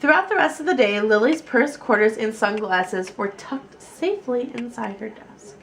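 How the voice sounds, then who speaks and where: A woman reading a children's picture book aloud in an animated storytelling style. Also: fairly high pitch, moderate pace.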